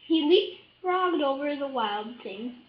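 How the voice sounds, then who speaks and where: A child reading a picture book aloud in a halting, expressive way, adding little asides to the listener. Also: sits high. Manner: halting, expressive, with drawn-out words and excited shouts. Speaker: storybook reader. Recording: echoey room, slightly dull sound.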